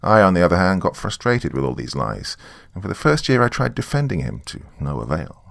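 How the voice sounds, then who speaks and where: A man narrating a scripted first-person story in a measured, confiding way. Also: deep voice, sing-song, vocal fry, no room sound, studio quality.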